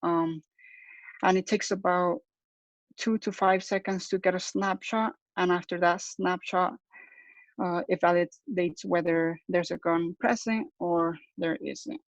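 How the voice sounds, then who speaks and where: A man's voice: high-pitched, fairly even intonation, no room sound. A young man giving a hesitant, explanatory student presentation over a lo-fi video call. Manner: hesitant, halting. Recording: dull lo-fi call audio.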